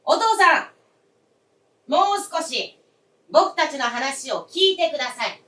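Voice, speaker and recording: A woman's voice: high-pitched, expressive, takes it slowly, bright, forceful tone, full character voice. Woman as earnest grown son, roomy stage sound. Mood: earnest, insistent. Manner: polite, formal, persistent. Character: earnest, insistent grown son pleading with father. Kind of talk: Japanese radio drama acting on stage. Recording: roomy, distant stage recording.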